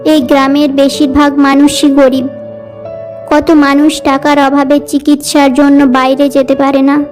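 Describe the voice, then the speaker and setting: A studious teenage village girl in a cartoon, acted by a woman. Her high voice stays even as she speaks earnestly and caringly, with faint music underneath. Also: measured pace. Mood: earnest, determined. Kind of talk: scripted cartoon dialogue.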